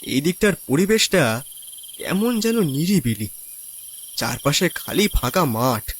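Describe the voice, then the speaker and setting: Man acting a lively, higher-voiced stranded city traveller. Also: unhurried, a little hiss or hum. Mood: uneasy. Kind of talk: cartoon horror story voice acting.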